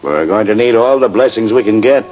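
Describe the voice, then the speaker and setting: A fatherly cavalry captain in a vintage radio drama. His deep voice moves in wide swings of pitch, with calm, unhurried authority. The recording is muffled. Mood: calm, indulgent. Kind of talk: 1950s radio drama acting.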